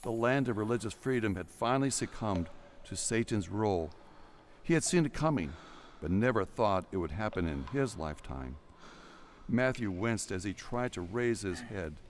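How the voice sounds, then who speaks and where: Low-pitched male dramatic story narrator. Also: expressive, moderate pace, slight background noise.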